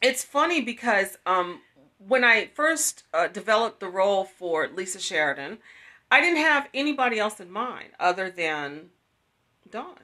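A woman's voice: medium pitch, big pitch swings, unhurried, sharp tone. A middle-aged woman hosting a casual promotional video chat, enthusiastic and emphatic, repeating phrases, recorded on a phone at arm's length in a small room. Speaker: host, writer and producer of an audio drama series.

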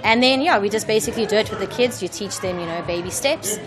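A woman choreographer explaining her teaching in a relaxed way, with frequent ums. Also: mid-range voice, quick pace, over music, low-quality recording. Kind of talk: casual interview.

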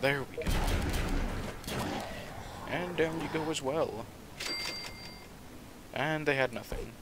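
A man casually commenting on his own gameplay at home, talking quietly and rambling, with the game's sound underneath. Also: a little high, slow, noisy background. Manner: soft-spoken, rambling. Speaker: gaming channel host.

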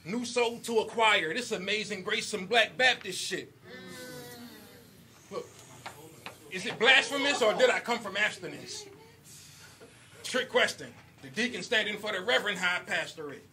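A man's voice: sits high, animated, normal speed, long pauses, a little hiss or hum. A man performing a rap battle with punchy, rhythmic delivery, chuckling now and then between his bars. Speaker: battle rapper. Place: indoor basement battle venue with crowd. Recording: loud live crowd recording. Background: crowd cheering and shouting.

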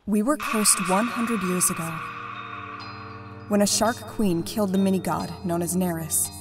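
A woman playing a stern, solemn merfolk ruler in a comic dub, speaking in a measured, speechmaking way. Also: medium pitch, fairly even intonation, recorded from a distance, music in the background, close to an everyday voice.

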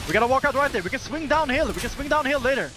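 A man calls plays in a competitive shooter match at breakneck speed, his voice very high and urgent. He repeats short phrases again and again over a voice chat, with clear gameplay noise and gunfire behind him. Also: lively intonation, racing. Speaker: esports player calling plays in a team match. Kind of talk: rapid in-game team callouts. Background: gunfire and game sound effects.

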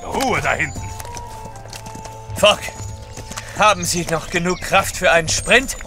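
An actor playing a proud, vengeful army officer in an audio drama, brisk and confident. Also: male voice, high-pitched, expressive, sharp tone, soft background music, heavily acted. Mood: commanding.

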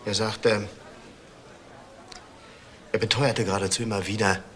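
Articulate, self-justifying middle-aged man, crime drama; deep register, measured phrasing with pauses. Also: level tone, a bit bright. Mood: grave, insinuating. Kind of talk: German TV crime drama dialogue.

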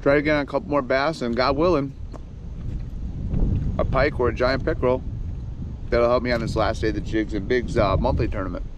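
A man in his thirties, a fishing vlogger, chatting casually and swearing from his kayak, with excited whoops when a fish bites. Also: medium pitch, fairly quick, pauses often, muffled recording, noisy background. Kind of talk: casual outdoor vlog chat. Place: outdoors in a kayak on a river. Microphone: action camera on the kayak.

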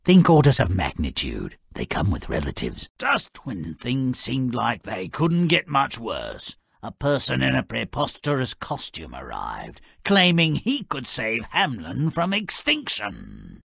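An actor playing a gleefully malicious, sardonic little fairy-tale troublemaker in a video game, with a raspy, gravelly voice and a theatrical, gloating delivery. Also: male voice, mid-range voice, no echo, heavily acted. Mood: wry storytelling. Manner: sardonic, gloating, theatrical, swings from murmur to shout. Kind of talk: theatrical scripted game narration.

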